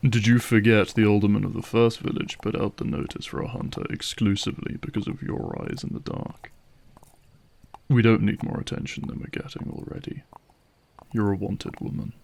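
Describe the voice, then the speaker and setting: A man's voice: lowish voice, flat delivery, moderate pace, creaky, a little mellow. A man playing a terse, dryly guarded vampire hunter in a fantasy audio roleplay. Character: wry, guarded male vampire hunter, gruff protective ally.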